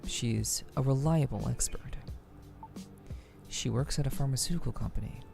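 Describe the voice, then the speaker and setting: An earnest male counselor from a story game. He speaks in a measured, reflective manner with plenty of fry in his mid-pitched voice. Music plays clearly behind him. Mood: earnest, uneasy. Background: background music.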